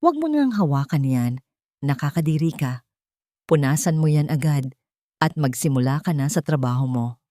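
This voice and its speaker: A woman's voice: deep voice, animated, moderate pace, mellow, no room sound, studio quality. A woman voicing a haughty, bossy, rich young bride-to-be in an audio story. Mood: scornful, bossy. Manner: scornful, commanding.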